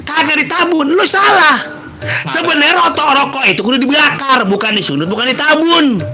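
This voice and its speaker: A man with a Betawi Jakarta accent hosts a joking call-in style show, reading out silly questions and pantun and mocking them with loud bursts. His voice sits high and is a little sniffly, and music plays clearly behind him. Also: lively intonation, normal speed. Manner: banters, teases listeners, breaks into loud bursts. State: runny nose, sniffly. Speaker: comedic radio-style host reading listener questions.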